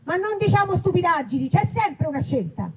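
A woman's voice: very high voice, very animated, brisk, pitched above the performer's own voice, exaggerated voice. A woman playing a blunt, grumpy, distrustful woman waiting for a bus, in a live reading. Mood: irritable. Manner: blunt, irritable. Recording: muffled live recording with noise.

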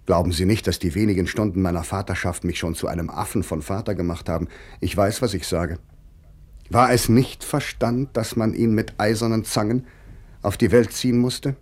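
Middle-aged man of letters, low rough voice, ironic, grieving, measured radio letter reading. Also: a little mellow.

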